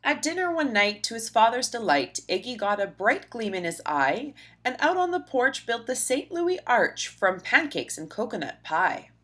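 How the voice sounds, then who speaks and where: A woman narrating a rhyming children's storybook aloud in an expressive, rhythmic, dramatic way. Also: fairly high pitch, moderate pace, hardly any pauses. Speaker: storybook reader.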